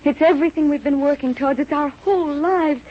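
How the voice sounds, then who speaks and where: An actress playing a brave, self-effacing, devoted wife and assistant to a doctor in an old-time radio drama. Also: female voice, high-pitched, a little fast, close to an everyday voice. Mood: determined. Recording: muffled, faintly noisy vintage radio recording.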